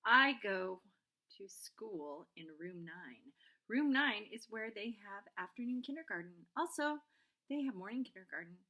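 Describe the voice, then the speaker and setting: A woman voicing an excitable, blunt kindergarten girl in a children's storybook, with childlike, emphatic phrasing. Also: medium pitch, unhurried, highs cut off. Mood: chatty, matter-of-fact. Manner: childlike, emphatic, babyish grammar. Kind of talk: children's storybook read-aloud.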